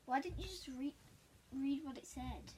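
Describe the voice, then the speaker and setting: A woman's voice: high voice, moderate pace, mellow. A woman chatting casually, chiming in as a friend's sidekick on a story. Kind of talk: casual chat.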